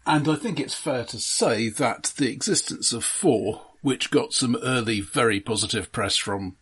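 Male film podcast co-host, husky mid-pitched voice, conversational, explanatory, with halting repeated starts. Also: fairly bright tone. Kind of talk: casual film discussion.

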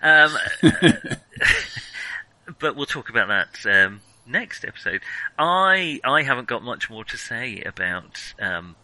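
A man's voice: mid-range voice, very animated, slow pace, sharp tone, low-quality recording. A man co-hosting a film podcast in casual discussion, hesitant and self-questioning yet blunt in his opinions. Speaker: podcast co-host reviewing films.

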